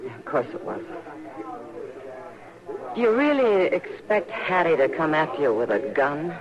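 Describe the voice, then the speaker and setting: A woman's voice: low voice, sing-song, measured pace, crowd noise. Earnest frontier woman, muffled old radio. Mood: saddened, disbelieving. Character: warm-hearted, worried, practical frontier townswoman, grown woman. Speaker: radio actress. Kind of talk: old-time radio western acting. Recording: dull, lo-fi vintage radio recording.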